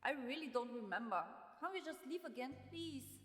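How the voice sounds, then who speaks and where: A woman's voice: high voice, expressive, moderate pace, soft background music. A woman playing a frightened, pleading teenage girl in a dramatised horror story, speaking frantically. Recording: echoey with long reverb tail.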